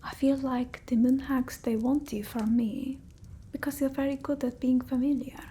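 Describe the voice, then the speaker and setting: A woman's voice: high voice, steady tone, close to an everyday voice. A woman voicing a fussy, condescending female vampire in ASMR roleplay, mostly whispered, close to the mic. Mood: anxious, secretive. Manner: halting, fussy, gently bossy, blunt. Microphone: close ASMR microphone.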